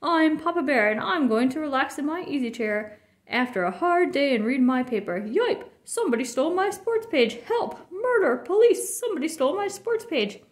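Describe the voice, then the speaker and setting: A woman voicing a loud, grumpy, easily outraged father bear in a children's storybook. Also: sits high, animated, normal speed, pitched above the performer's own voice. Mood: blustering outrage. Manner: blustering, exclamatory.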